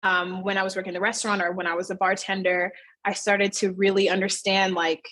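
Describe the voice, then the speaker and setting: A woman's voice: medium pitch, level tone, fairly quick, fairly bright tone. A woman in her thirties, a fitness studio owner, chatting casually as an interview guest and acting out voices when she quotes people. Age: 30s.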